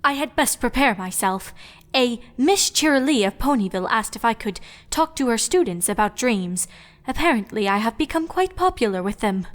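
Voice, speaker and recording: A woman's voice: on the high side, lively intonation, normal speed, long reverb tail, natural voice. A woman voicing a regal pony princess of the night who speaks in a formal, old-fashioned way. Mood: formal, pleased. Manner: archaic, stately formal phrasing.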